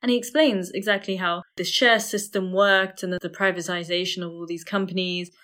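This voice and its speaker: A woman's voice: fairly low pitch, steady tone, moderate pace, fairly bright tone. A woman in a casual discussion, talking in an explanatory, opinionated way. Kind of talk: casual discussion of books and current affairs.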